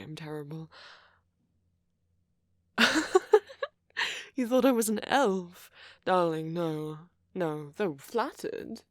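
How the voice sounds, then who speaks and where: A man acts out a friendly vampire with a gravelly, breathy voice, recorded very dry and intimate for an ASMR roleplay. He talks slowly and hesitantly, often sinking toward a whisper. His manner is flirty and apologetic, full of gentle concern and soft teasing. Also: a little high, no echo, heavily acted. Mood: playful, flirty.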